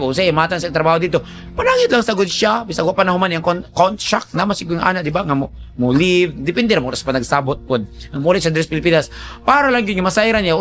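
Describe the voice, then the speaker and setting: Male radio host, high-pitched, conversational and emphatic advice-giver over light background music. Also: a bit bright.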